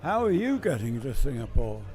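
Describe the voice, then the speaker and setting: A man acting a smooth male secret agent who poses as a reporter in an amateur radio play, wry and flirtatious. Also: medium pitch, very animated, normal speed, a little mellow, soft background music, lightly acted. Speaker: lead actor in amateur radio drama.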